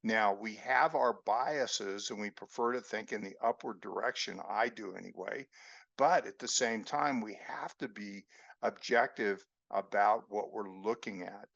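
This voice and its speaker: Slow gravelly man explaining charts. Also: on the low side, fairly bright tone, no room sound. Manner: slow, measured explaining with fillers. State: losing his voice. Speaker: market analyst host. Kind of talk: screen-share chart lesson. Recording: dry, dull lo-fi voice-over.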